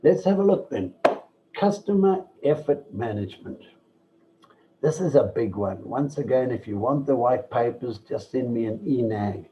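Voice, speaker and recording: A man giving an online masterclass from slides, measured and listing points with pauses and fillers, over a computer mic without a headset. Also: expressive. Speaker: masterclass presenter. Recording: dull low-fi online call audio with faint noise.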